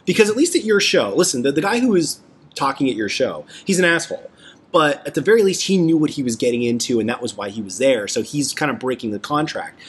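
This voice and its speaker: A man's voice: medium pitch, expressive, fast, a little hiss or hum. A man hosting a casual podcast discussion, chatty and enthusiastic, often restarting his sentences. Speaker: podcast host.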